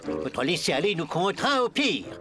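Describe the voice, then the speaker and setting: A man's voice: high voice, big pitch swings, moderate pace, music in the background. A man voicing an officious, stern school supervisor, formal and accusatory, in a French cartoon. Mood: indignant. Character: officious stern male school disciplinarian, indignant.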